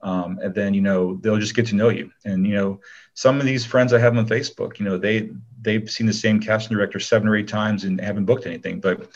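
Low-voiced man chatting, slightly muffled audio. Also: steady tone, a little fast. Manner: rambling, peppered with "you know". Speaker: interview guest, actor. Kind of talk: casual conversational advice.

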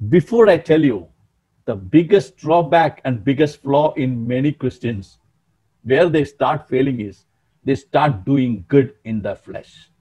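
A man in his sixties preaching a sermon in an emphatic, animated way, often calling out to his listeners, over a webcam in a small room. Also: mid-range voice, unhurried, slightly rough, highs cut off. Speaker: pastor.

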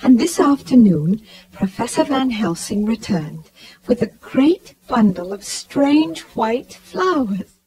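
A woman's voice: fairly high pitch, very animated, slow pace, mellow, low-quality recording. An actress playing a sweet, trusting young Victorian lady in a radio drama. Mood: happy, delicate.

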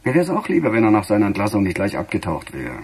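An actor playing a weary, wry middle-aged police detective in a radio crime play. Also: male voice, on the low side, huge pitch swings, fast, a little mellow, slightly rough, heavily acted. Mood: musing, uneasy. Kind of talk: German radio drama dialogue.

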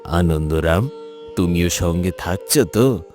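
Over a music bed comes a man playing a humble, devoted father in a moral cartoon. His voice is very low and he speaks slowly, with earnest respect. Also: expressive, darkish tone. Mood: earnest, trusting. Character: humble, devoted village father of a gifted boy. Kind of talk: Bengali moral cartoon voice acting.

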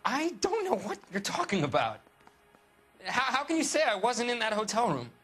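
An actor playing a distraught, confused young murder suspect, anxious and halting as he is questioned. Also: very high voice, wildly swooping pitch, a bit bright, breathy edge, soft background music, full character voice. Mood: nervous, defensive. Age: young adult. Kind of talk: interrogation dialogue in a crime game.

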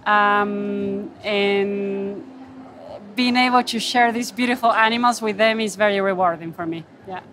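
A woman speaking earnestly in an unscripted interview, pausing to find her words, on a handheld microphone. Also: medium pitch, fairly even intonation, a bit bright, slight background noise. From Argentina. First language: Spanish. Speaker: wildlife photographer interviewed at her exhibit. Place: gallery booth at an indoor art fair.

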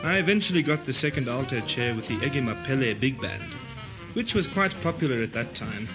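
A man's voice: medium pitch, lively intonation, brisk, music in the background, close to an everyday voice, rough recording. A man playing an aggrieved, pompous adventurer telling his past in a comic radio melodrama. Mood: solemn, weary.

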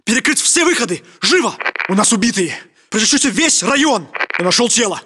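An actor playing an alarmed Russian guard in a video game, barking urgent orders in a husky voice. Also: male voice, very high-pitched, big pitch swings, fairly quick, pushed and bright, full character voice. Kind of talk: game voice lines.

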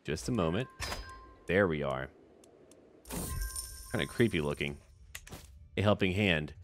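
A man's voice: deep voice, lively intonation, measured pace. A man giving casual, in-character commentary on a strategy game, thinking aloud in short remarks as if talking to the characters. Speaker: gaming video host. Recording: music behind the voice. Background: background music.

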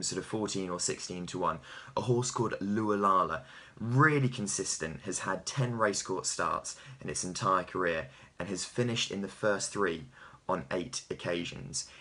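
A man's voice: sits low, sharp tone. A man in his thirties giving horse racing tips to camera with an emphatic, punchy delivery. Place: small home office, indoors.

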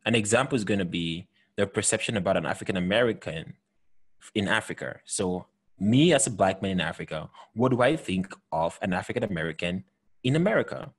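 A man's voice: sits low, animated, brisk, husky. A man in his thirties from Cameroon, hosting a video call chat with an earnest, emphatic manner and frequent restarts. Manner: earnest, emphatic, many restarts and repeated words. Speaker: host. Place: home room with bookshelves. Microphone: headset with desk microphone.

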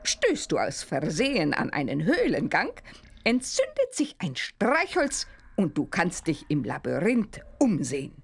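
A stately, mysterious grown witch from a cartoon game. She speaks slowly and theatrically, with hugely swooping intonation. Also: lowish voice, huge pitch swings, gravelly, slightly rough, full character voice. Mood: instructive. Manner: theatrical, deliberate, instructing. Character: stately, mysterious adult witch giving a task.

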